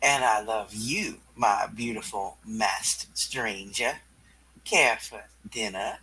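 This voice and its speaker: A man's voice: fairly low pitch, slow, bright, forceful tone, slightly rough, exaggerated voice, rough recording. A man voicing a seductive, thrill-seeking female cat burglar in a comic book reading, with a purring, teasing, flirty delivery.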